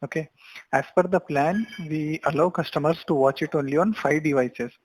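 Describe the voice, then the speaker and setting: A man with an Indian accent working as a support agent, explaining account rules in a halting, repetitive way on a call. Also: on the high side, a little hiss or hum, low-quality recording.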